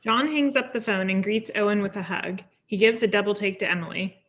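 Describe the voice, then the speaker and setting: A woman's voice: medium pitch, fairly quick. A woman reading stage directions as scripted narration for a table read.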